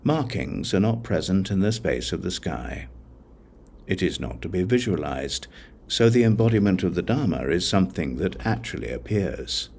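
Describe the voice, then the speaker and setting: A man reading a Buddhist scripture as an audiobook, in measured, deliberate phrases with pauses. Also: low voice, big pitch swings, a little mellow, slight background noise. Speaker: audiobook reader.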